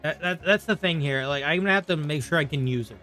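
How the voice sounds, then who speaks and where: A man talking casually to viewers as a game streamer, in a halting, conversational way, often repeating himself. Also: a little high, pushed and bright. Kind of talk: casual live stream chat while gaming. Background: faint game music.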